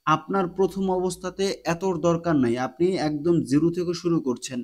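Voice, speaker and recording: Man, fairly high voice, tutorial host, explanatory narration. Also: a little fast.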